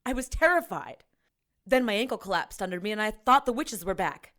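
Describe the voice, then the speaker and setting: A tough female ex-cop in an audio drama. Her voice is medium-pitched and she delivers her lines in a blunt, sardonic, natural way. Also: sing-song, fairly quick, fairly bright tone. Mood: worried, guarded. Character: tough, sarcastic ex-cop woman, blunt and exasperated.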